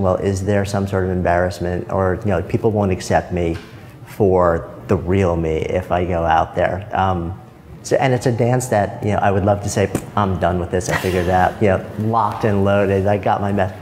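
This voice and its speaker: A man's voice: low-pitched, darkish tone. A man hosting an interview, speaking thoughtfully and openly about his own struggles. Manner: thoughtful, open, many fillers. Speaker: podcast host. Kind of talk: reflective interview talk. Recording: long reverb with faint noise.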